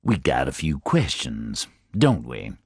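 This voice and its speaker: A composed detective captain speaks in a deep, rumbling, precise voice, as a male narrator plays him. His diction is formal and measured. The delivery is slow and dry. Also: huge pitch swings, darkish tone, husky, no room sound, full character voice.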